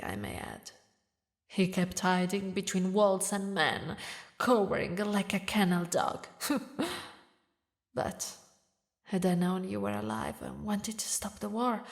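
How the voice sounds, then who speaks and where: A woman acts out a demon queen in a romantic audio drama. She speaks slowly at a middle pitch, with halting, dramatic phrasing that can sink into a breathy whisper. Her regal vengefulness carries deep grief and tenderness for a lost love. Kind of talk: scripted romantic audio roleplay.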